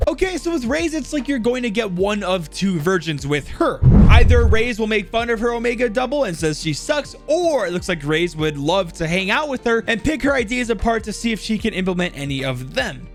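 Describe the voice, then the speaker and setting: A man's voice: high voice, very animated, fairly quick, hardly any pauses, soft background music. A man giving chatty, casual game lore commentary, speculating and addressing the viewers.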